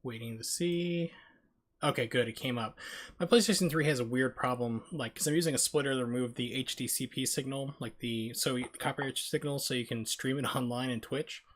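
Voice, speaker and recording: A man's voice: mid-range voice, fairly quick. Casual male game streamer chatting. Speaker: streamer, host.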